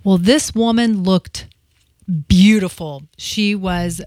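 A woman's voice: medium pitch, slow pace, darkish tone, dry recording. Chatty woman in her fifties, dry sound. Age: 50s. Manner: chatty, confessional, many restarts. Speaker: radio host. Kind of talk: casual on-air chat.

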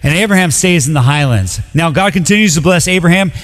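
Casual young preacher in a big hall. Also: male voice, a little high, animated, fast talker. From Colombia. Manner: casual, conversational preaching with frequent "like" and restarts. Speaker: pastor. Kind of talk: sermon. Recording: long reverb of a large hall.

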